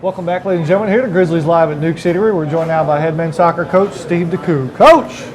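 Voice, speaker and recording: A man's voice: fairly high pitch, fast talker. A man hosting a live sports interview show, asking a guest questions in a busy eatery. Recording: crowd chatter behind the voice. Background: crowd chatter.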